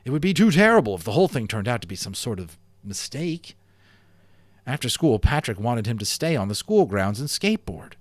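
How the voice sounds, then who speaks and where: A man reading a children's novel aloud at home, with a very animated rise and fall in his voice. His storytelling is expressive, and at the grim parts he sinks low and soft. The sound is close and dry. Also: a little fast, dry recording. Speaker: amateur voice actor and audiobook reader.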